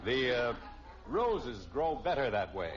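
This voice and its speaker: Wry, animated radio dad. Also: male voice, medium pitch, takes it slowly, over loud music, over a noisy crowd. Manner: dry, sardonic. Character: wry, wisecracking suburban father and husband. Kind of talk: old-time radio family sitcom acting. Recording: muffled, noisy old radio recording.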